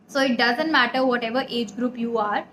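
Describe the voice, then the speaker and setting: A woman's voice: fairly high pitch, normal speed, a bit bright. A young woman with an Indian accent giving career advice in an earnest, explanatory way, addressing listeners directly. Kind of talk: advisory talk to camera. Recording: echoey room, audible background noise.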